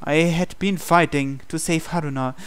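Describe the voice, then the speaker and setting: A man's voice: a little high, steady tone, normal speed, clean tone. A man reading visual novel text aloud as dramatic scripted narration for a playthrough.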